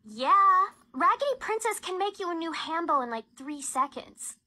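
A woman's voice: very high voice, lively intonation, moderate pace, loud music behind the voice. A woman voicing a teasing, blunt young female cartoon vampire in a casual, snide, offhand way.

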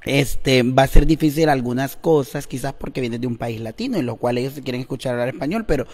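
A man in his thirties interviewing a guest in a chatty, playful, excitable way, holding a handheld mic in a living room. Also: mid-range voice, fast talker. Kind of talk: casual interview. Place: indoor living room on a couch. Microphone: handheld wired mic.

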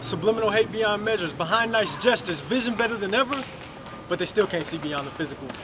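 A young man delivers rhyming spoken word poetry in a quick, high, driving voice. He is recorded outside on a city street, and the sound is dull with clear traffic noise behind him. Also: animated. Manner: rhythmic, rhyming, building to forceful delivery. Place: outdoors in front of a city mural.

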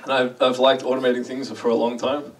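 A man's voice: medium pitch, flat delivery, fairly quick, far from the mic, poor audio. Australian man giving a casual conference talk. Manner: chatty, self-deprecating, asks the audience questions. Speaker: conference speaker. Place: large dark lecture hall.

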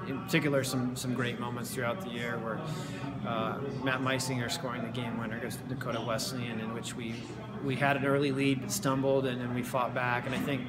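A man in his thirties, a head soccer coach, giving an earnest and reflective post-game interview with many fillers and restarts, filmed on a phone in an indoor hallway. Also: medium pitch.

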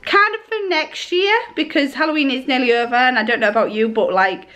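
A woman, chatting casually to a handheld camera with a croaky voice from a cold, rambling with lots of ums. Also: high-pitched, a little fast, fairly bright tone. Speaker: family vlogger. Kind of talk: casual vlog chat to camera. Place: home kitchen, then shops. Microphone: handheld camera at arm's length.

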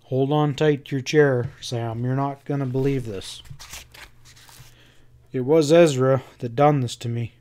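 A man voicing a grave, wounded cowboy in a Western audiobook, quiet and plain-spoken. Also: medium pitch, steady tone, measured pace, close to an everyday voice. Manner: quiet, rustic Western speech.

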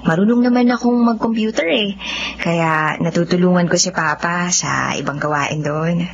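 A cheerful, welcoming young woman with a fairly low, confident voice. The radio drama recording is dull with faint noise. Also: moderate pace, vocal fry. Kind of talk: Filipino radio drama acting.

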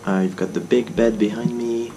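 A young man chatting to his camera from the driver's seat, easygoing and unhurried. His pitch sits in a middle range for a man, and he fills pauses with relaxed asides as he thinks aloud. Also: background noise. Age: young adult. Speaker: travel vlogger. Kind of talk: casual road trip vlog. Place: inside a car while driving; motel room, small, indoors. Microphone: camera mounted on the dashboard.

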